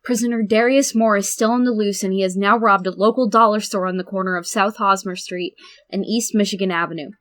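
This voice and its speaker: A woman playing a TV news anchor, reading the news briskly. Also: medium pitch, fairly even intonation, a bit bright, lightly acted.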